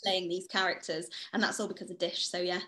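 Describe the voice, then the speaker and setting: A woman's voice: fairly low pitch, flat delivery, fast, a crowd in the background. A young woman, an actor, talking earnestly and thoughtfully about a film role on a video call. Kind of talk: casual chat in a video call Q&A. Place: indoor room at home. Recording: roomy video call audio.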